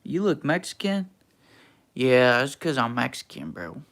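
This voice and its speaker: A man's voice: mid-range voice, sing-song, unhurried, fairly bright tone. A young man from Texas, hosting a casual livestream and answering chat in a laid-back, slangy, teasing way. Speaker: livestream host reading chat.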